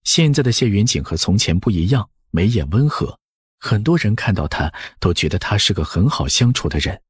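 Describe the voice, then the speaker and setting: A man's voice: fairly low pitch, sing-song, normal speed, slightly rough, dry recording, studio quality. A man narrating an audiobook story from a script.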